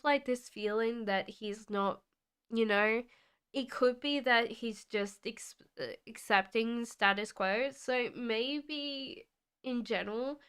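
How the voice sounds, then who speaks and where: A woman with an Australian accent blended with British tones gives a chatty live-stream tarot reading. Her voice is very smooth and a little high, and she takes her time. She reads viewer comments aloud and laughs easily. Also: fairly bright tone. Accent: Australian mixed with British. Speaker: tarot reader. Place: home in the UK.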